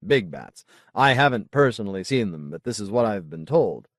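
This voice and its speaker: A man voicing a solemn, zealous colony leader in an audiobook, speaking in a formal, deliberate, oratorical way. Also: fairly low pitch, sing-song, dry recording, clean studio sound. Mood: explanatory, firm. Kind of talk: audiobook character dialogue.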